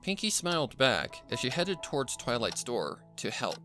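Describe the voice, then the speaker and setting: An amateur narrator reading scripted fiction with expressive, measured storytelling. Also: medium pitch, soft background music.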